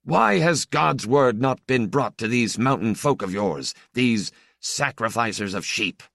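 A man doing a haughty, sharp-voiced priest. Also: mid-range voice, expressive, unhurried, gravelly, dry recording, clean studio sound, pitched above the performer's own voice, exaggerated voice. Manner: sharp, demanding. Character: haughty pot-bellied stranger priest.

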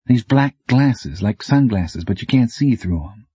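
A man's voice: medium pitch, animated, measured pace, warm tone, husky, no echo, highs cut off. A man voicing a scrappy, defiant but scared young female bike messenger, terse and earnest, in an audiobook. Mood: uneasy. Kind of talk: audiobook character dialogue.

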